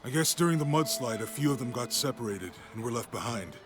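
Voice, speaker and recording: A man's voice: medium pitch, expressive, quick pace, natural voice. A man playing a casual, wry companion to a heroine in a video game cutscene, briefing her plainly. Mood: concerned. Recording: music behind game dialogue.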